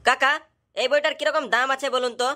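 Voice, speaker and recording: A woman's voice: high voice, steady tone, fairly quick, pushed and bright. A woman voicing a sassy young schoolboy customer in a comedy cartoon, with indignant, mocking delivery. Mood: coaxing. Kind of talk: comic cartoon dubbing.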